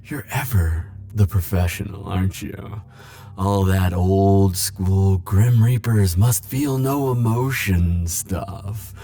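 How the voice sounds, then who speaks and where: Man playing low, slow, flirty immortal charmer. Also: steady tone, dark tone. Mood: teasing, gently probing. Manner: coy, theatrical, drawn-out teasing phrasing. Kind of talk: ASMR roleplay audio acting.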